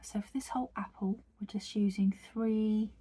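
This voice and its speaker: A woman with a croaky, husky voice after a recent virus, talking viewers through an embroidery project. Her tone is mellow and dark, and her pitch is ordinary. She speaks in a chatty, meandering way, full of fillers and restarts. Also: fairly even intonation, moderate pace. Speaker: embroidery teacher. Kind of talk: craft tutorial narration.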